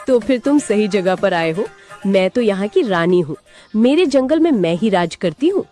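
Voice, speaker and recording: A woman's voice: mid-range voice, expressive, moderate pace, warm tone, faint music behind, lightly acted. A woman voicing a sly, self-important fox who poses as a queen in a cartoon, crafty and haughty. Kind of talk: animated moral-story character acting.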